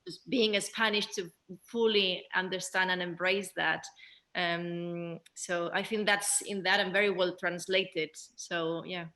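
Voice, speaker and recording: A woman's voice: lowish voice, fairly even intonation, unhurried, sharp tone. A woman moderating a post-show question and answer session, circling back to rephrase as she puts her questions. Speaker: panel moderator.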